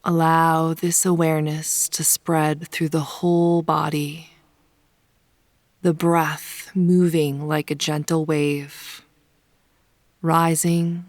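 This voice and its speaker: A woman leading a guided yoga nidra meditation in a soft, soothing, unhurried manner, with phrase ends sometimes hushed. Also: low-pitched, no room sound, clean studio sound. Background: soft pink noise.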